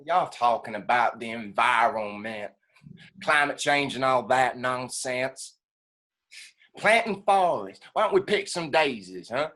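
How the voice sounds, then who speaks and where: Combative military man, played by a male actor, scornful and folksy, hard bright tone, scripted stage dialogue. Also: mid-range voice, lively intonation, measured pace. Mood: scornful taunting.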